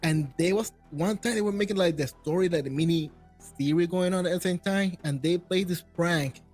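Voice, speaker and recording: A man hosting a casual panel chat in English, telling stories in an animated way. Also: high voice, normal speed, faint music behind. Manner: non-native English phrasing, animated storytelling. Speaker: show host.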